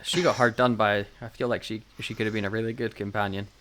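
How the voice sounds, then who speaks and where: A man's voice: on the low side, steady tone, fast talker, fairly bright tone. A man co-hosting a podcast in casual chat, with a wry, jokey manner and frequent laughter. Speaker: podcast co-host. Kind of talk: casual chat reviewing audio dramas.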